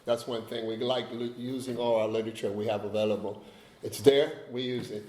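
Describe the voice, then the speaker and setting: A man's voice: medium pitch, steady tone, brisk, dark tone, echoey room, poor audio. A man from Cuba, giving a personal recovery talk, chatty and joking with the audience in asides. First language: Spanish. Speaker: AA speaker.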